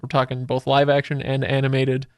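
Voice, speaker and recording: A man's voice: medium pitch, completely flat delivery, brisk, smooth, no echo. A man hosting a casual film chat podcast, talking conversationally and putting questions to his co-hosts. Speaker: podcast host.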